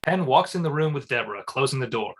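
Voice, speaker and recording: A man's voice: medium pitch, lively intonation, fast, breathy edge. A man reading stage directions as scripted narration at a comedy table read.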